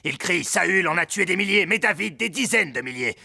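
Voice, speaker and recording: Angry brooding cartoon king, raspy and kinda high for a man, music behind. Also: lively intonation, moderate pace, sharp tone, no room sound, studio quality, exaggerated voice. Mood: bitter, menacing. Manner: imperious, dramatic. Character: jealous, wrathful, brooding middle-aged king. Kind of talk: French animated Bible drama dubbing. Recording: music under the voice.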